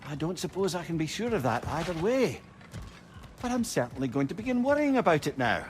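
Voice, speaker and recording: Lively, wordy old Scottish sage. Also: male voice, fairly high pitch, brisk, noisy background. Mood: troubled. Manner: loquacious, courtly, old-fashioned phrasing. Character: witty, wordy, tender old sage, male. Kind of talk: video game cinematic acting. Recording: game music behind the voice.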